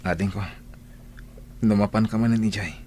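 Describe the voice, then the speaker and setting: Low-voiced, troubled young father played by an actor. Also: moderate pace, darkish tone, slight background noise, lightly acted. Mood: longing. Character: troubled, anxious young father longing for his children. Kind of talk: Ilocano radio-style audio drama acting.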